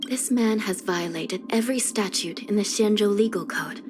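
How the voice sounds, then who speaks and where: A woman playing a weary, grave young judge of the underworld in a video game, speaking softly in measured, formal phrases. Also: medium pitch, close to an everyday voice. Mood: stern. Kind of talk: scripted video game character voice lines. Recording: music under the voice. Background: background music.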